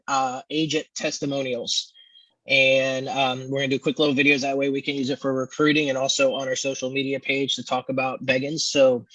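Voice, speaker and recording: Bright-voiced man with casual fillers. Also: mid-range voice, dry recording, rough recording. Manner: casual, lots of ums and fillers. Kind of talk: team meeting talk.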